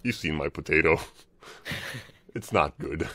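Very deep-voiced male gamer, casual callouts. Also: unhurried, fairly bright tone, no echo. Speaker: gamer playing a team shooter. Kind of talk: casual in-game team callouts. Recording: noisy game voice chat, gameplay sounds. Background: video game sounds.

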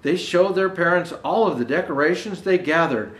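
An older man reads a picture book to children in a fairly high voice with a widely swinging melody. He adds gentle explanations as he goes. The room gives his voice an audible echo. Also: expressive, moderate pace. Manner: gentle explanatory asides to young viewers. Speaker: storyteller dressed as Santa Claus. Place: indoor room with Christmas decor. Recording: roomy indoor echo.

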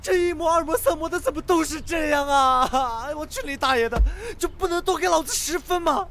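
A young man acting a cocky, teasing, hot-tempered high school boy in an audio drama, expressive and easily exasperated. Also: brisk. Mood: exasperated, loud. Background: background music.

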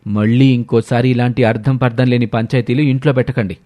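A man's voice: mid-range voice, fairly even intonation, a little fast, mellow, natural voice. A man playing a defiant, sarcastic married son arguing in a family story. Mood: stern. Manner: argumentative, sarcastic. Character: defiant married adult son, argumentative, defending his wife.

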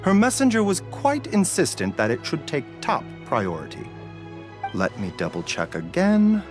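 A man voicing a gentle, courteous male herbal doctor in a video game, speaking in polite, measured, formal phrases. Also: fairly high pitch, huge pitch swings. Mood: calm, courteous. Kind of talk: scripted game dialogue. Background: music.

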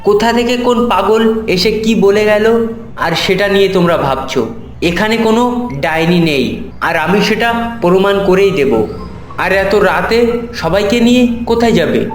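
Man playing a slow, firm skeptical young man. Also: high voice, animated. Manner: firm, questioning, measured. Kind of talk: animated ghost story acting. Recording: echoey, with faint music behind.

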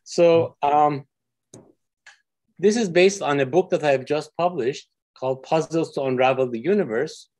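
A man's voice: on the high side, normal speed, a little mellow. Man from Iran giving an online physics lecture. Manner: friendly, explanatory, with frequent fillers. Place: office with windows. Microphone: video call.

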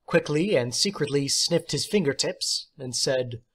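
A man's voice: a little high, expressive, unhurried, fairly bright tone, husky. A man reading a short story aloud as audiobook narration. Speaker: audiobook reader.

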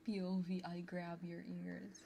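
A woman streaming ASMR, whispering and softly chatting to viewers in a soothing, playful way, close to a binaural microphone. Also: lowish voice, completely flat delivery, unhurried, creaky, heavy background noise. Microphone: close binaural ear microphone.

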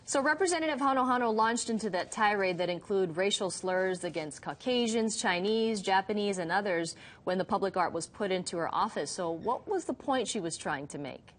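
A woman news anchor in a TV studio, leading a live morning interview with crisp, polished delivery. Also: medium pitch, expressive, brisk, few pauses. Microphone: lapel mic.

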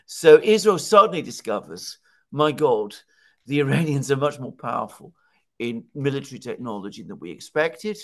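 A man in his sixties speaks as a political commentator in a conversational way. He explains things emphatically and sets up his points with rhetorical questions, at a middle pitch. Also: very animated, normal speed. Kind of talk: conversational news analysis. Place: home study with bookshelves. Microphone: headset on video call.

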